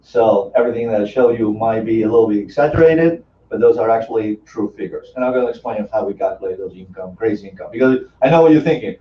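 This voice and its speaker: A man's voice: fairly low pitch, fast talker, hardly any pauses. A man from Argentina with an Argentine Spanish accent, a native Spanish speaker, giving a casual, chatty presentation with lots of fillers and restarts. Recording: distant, dull sound in an echoey room.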